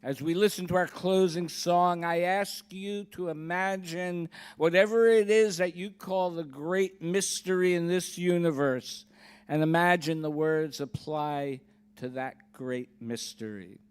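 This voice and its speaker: A man speaking as a minister, giving a solemn blessing in measured, deliberate, emphatic phrases. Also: on the high side, steady tone, clean tone. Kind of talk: solemn closing blessing at a church service.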